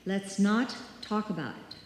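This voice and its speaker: A woman acting a brisk, stubborn character who refuses to be frightened, in an old time radio suspense play. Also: medium pitch, a little hiss or hum, natural voice. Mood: firm, insistent. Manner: firm, dismissive, bossy. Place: live stage performance in a hall. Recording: very echoey live hall.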